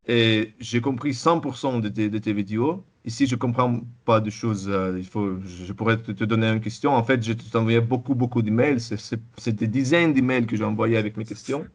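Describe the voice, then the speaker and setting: A young man with a Russian accent whose first language is Russian, speaking French haltingly with many restarts and repeated words in a casual interview. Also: medium pitch, steady tone. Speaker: interviewee, former student.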